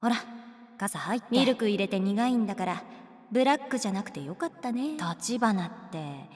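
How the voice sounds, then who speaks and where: A woman playing a cool, caring teacher in a Japanese voice drama, talking in a laid-back, gently teasing way. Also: medium pitch, expressive, moderate pace, no room sound, clean studio sound, close to an everyday voice. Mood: tender, soothing. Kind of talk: Japanese voice drama acting, conversational.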